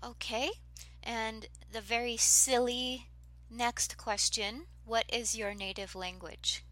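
A woman hosting an English learning podcast, speaking in a gentle, measured and encouraging way as she introduces and interviews a guest. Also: fairly high pitch, fairly even intonation, poor audio. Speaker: podcast host. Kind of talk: scripted intro and interview questions.

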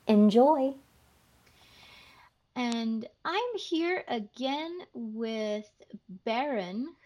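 A woman hosting an English-learning podcast, speaking slowly and clearly like a teacher interviewing a learner. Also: a little high, warm tone. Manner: slow, carefully enunciated teacher talk.

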